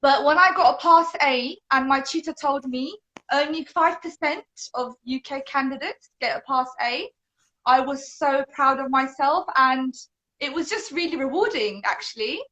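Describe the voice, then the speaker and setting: Guest: young London woman, high and bright, articulate teacher on a video call. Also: normal speed, dull audio. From London, England. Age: young adult. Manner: upbeat, fluent and articulate. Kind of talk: online interview answers.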